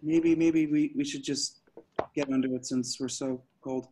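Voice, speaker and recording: A man acts out an awkward young slacker, smitten and a little dim. He speaks haltingly at a medium pitch, tripping over his words with nervous earnestness, as in a casual movie script read-through. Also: steady tone, warm tone, lightly acted, low-quality recording. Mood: shy, earnest. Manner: halting, stammering, hesitant. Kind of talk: amateur screenplay table reading. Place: online group video call.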